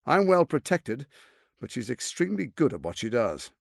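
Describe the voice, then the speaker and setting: A man's voice: medium pitch, big pitch swings, normal speed, creaky, dry recording, studio quality. A man voicing a suave, scheming, arrogant archduke in a fantasy video game, silky, grandiose and condescending in his theatrical delivery.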